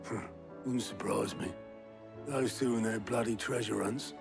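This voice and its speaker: Gravelly, gruff British hideaway veteran. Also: male voice, lowish voice, steady tone, measured pace. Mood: fondly exasperated. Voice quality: gravelly, creaky. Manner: blunt, colloquial, dryly teasing. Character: gruff, wry middle-aged man running a fantasy hideaway. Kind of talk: cinematic video game acting. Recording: music behind the voice.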